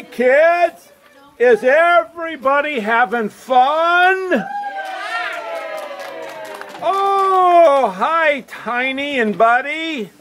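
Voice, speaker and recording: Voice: sits high, a bit bright, slight background noise, exaggerated voice. A puppeteer voicing a cheerful train conductor in a children's puppet show, talking to the kids in an explanatory, sing-song way. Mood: jolly.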